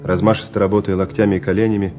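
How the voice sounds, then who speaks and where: A man's voice: fairly low pitch, flat delivery, rapid-fire. A man narrating a war radio play in a scripted, dramatic storytelling style. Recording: dull radio recording with music behind.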